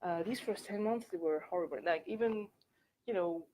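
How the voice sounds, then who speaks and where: A young woman with a French accent speaks to a room of students in a loose, candid way, leaning on "like" and "actually" as she goes. Her voice sits a little low, and she sometimes drifts away from the mic. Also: normal speed, warm tone, slight background noise, poor audio. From France. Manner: chatty, candid, filler-heavy with frequent "like" and "actually". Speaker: workshop speaker. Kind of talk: informal presentation to students. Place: university lecture room.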